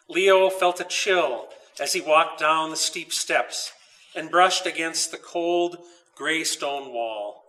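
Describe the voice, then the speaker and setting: A man reading a children's story aloud as its author, in a measured, deliberate way with pauses. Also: on the high side, sharp tone, recorded from a distance, rough recording. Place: old dairy barn.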